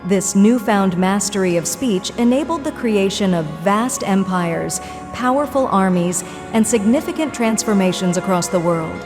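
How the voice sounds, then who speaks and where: A woman's voice: mid-range voice, lively intonation, moderate pace. Woman narrator, grand, long reverb. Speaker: intro narrator. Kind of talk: scripted dramatic narration. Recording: long reverb with faint music.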